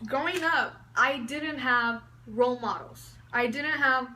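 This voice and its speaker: A woman's voice: sits high, unhurried, bright, forceful tone, a little hiss or hum. A woman in her early twenties, raised in Southern California and born in Mexico, talking enthusiastically to camera in a rambling way, with many fillers and restarts, from indoors at home. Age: early 20s. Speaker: vlogger. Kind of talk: casual talk to camera.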